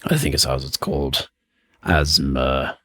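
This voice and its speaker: A man who voice acts, talking between takes in a formal, measured, deadpan way. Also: very deep voice, breathy edge, no room sound. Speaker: amateur voice actor. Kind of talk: dry monologue between takes.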